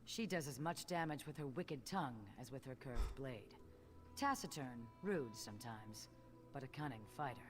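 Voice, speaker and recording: An actor, a woman, playing an earnest, newly appointed guildmaster in a fantasy game, speaking in a measured, formal way. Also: extremely low pitch, animated. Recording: game music underneath.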